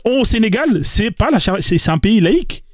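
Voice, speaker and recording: A man's voice: high-pitched, sing-song, normal speed. A man hosting a live debate, pressing insistently, interrupting and repeating his questions.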